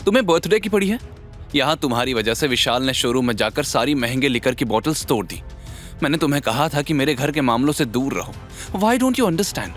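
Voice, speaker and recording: A proud, hot-tempered young businesswoman played by a male narrator in an audio drama. She is indignant and sharp-tongued, mixing English into her Hindi. Music plays behind the voice. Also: mid-range voice, animated, a little fast, close to an everyday voice. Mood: furious. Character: fiery, status-proud young businesswoman, scolding her husband.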